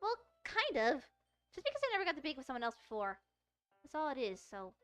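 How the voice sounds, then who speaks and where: Woman doing a prickly, bashful teenage girl, high-pitched. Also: huge pitch swings, fast, fairly bright tone, faint music behind, full character voice. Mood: defensive, bashful. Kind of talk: visual novel character read-aloud.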